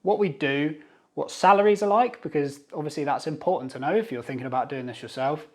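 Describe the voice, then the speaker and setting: Higher-pitched Channel Islands British man presenting. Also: brisk. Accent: Jersey, Channel Islands British. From Jersey, Channel Islands. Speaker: YouTube host and company secretary. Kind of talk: scripted explainer to camera.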